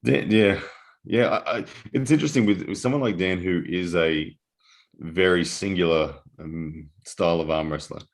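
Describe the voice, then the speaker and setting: A man commentating live on an arm-wrestling match, analytical and full of restarts, his voice going hoarse in the early morning. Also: low voice, moderate pace. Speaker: armwrestling commentator.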